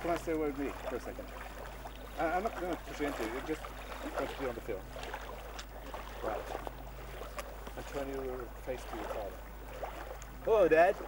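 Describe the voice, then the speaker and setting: A man's voice: medium pitch, animated, normal speed, long pauses. A man from Norway chatting casually and sociably with strangers outdoors beside a hot pool while a camcorder runs. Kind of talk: casual chat while filming. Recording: distant, noisy outdoor camcorder audio.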